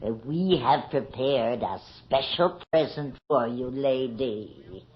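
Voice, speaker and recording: An actress playing a servile, scheming servant who pleads deferentially in a radio horror drama. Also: female voice, a bass voice, animated, slow pace, exaggerated voice. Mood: fawning, sly. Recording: muffled radio recording with slight noise.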